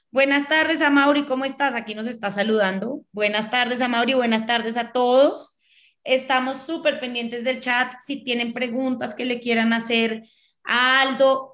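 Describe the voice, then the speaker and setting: A woman's voice: a little high, fairly bright tone. A woman co-hosting a live webinar over a video call, talking in an upbeat, chatty way. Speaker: co-host.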